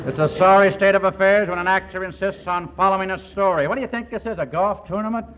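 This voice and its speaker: An actor playing a lazy, wisecracking Hollywood screenwriter in a 1940s radio comedy, flippant and sarcastic. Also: male voice, high-pitched, moderate pace, long pauses, fairly bright tone. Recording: dull, slightly noisy 1940s radio broadcast.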